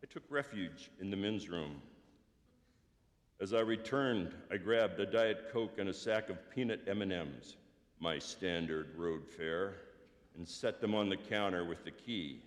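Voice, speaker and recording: A man reading a story aloud to a church congregation in a measured storytelling manner. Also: low voice. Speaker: scripture and story reader. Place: church sanctuary. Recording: long church reverb.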